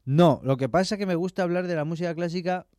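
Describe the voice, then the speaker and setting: A man's voice: high voice, fast, darkish tone. A man with a Madrid accent, a conductor and violinist chatting casually as a radio guest, laughing easily as he explains. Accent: Madrid Spanish.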